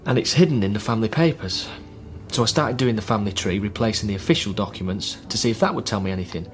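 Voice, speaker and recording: A man's voice: on the low side, fast, close to an everyday voice. An actor playing a worried, guarded British man in a naturalistic radio drama, speaking in an earnest, hesitant way. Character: worried, guarded divorced son caring for troubled father. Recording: faint music under radio drama.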